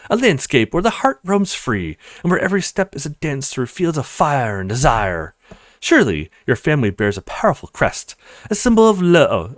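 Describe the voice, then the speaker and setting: A man voicing a grandiloquent, flattering male French restaurant host in a visual novel, florid and courtly. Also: medium pitch, no room sound, studio quality, natural voice.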